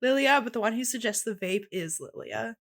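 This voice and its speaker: Higher-voiced woman in casual chat. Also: animated, brisk, dry recording, studio quality. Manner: giggly, informal, playful. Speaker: podcast co-host.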